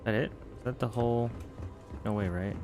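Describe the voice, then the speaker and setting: A man's voice: lowish voice, normal speed, over music. A man giving casual, joking commentary while playing a video game, often muttering to himself. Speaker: gameplay streamer.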